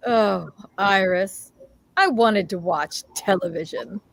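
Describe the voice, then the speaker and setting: A woman's voice: medium pitch, slow pace, vocal fry, no room sound. A woman playing a put-upon, grumbling husband in old time radio comedy style, plaintive and resigned.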